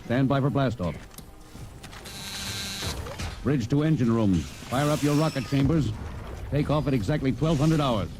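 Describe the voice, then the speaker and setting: An American actor playing a gruff, quick-tempered male spaceship captain in a vintage radio drama. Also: mid-range voice, background noise, natural voice. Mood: brisk, commanding. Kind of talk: old-time radio drama acting.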